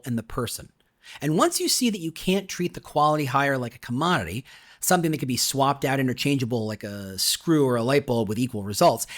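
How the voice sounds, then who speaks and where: A man reading his own nonfiction book in a conversational way, with rhetorical questions and emphatic asides. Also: fairly high pitch. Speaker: author reading own audiobook. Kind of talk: conversational scripted nonfiction narration.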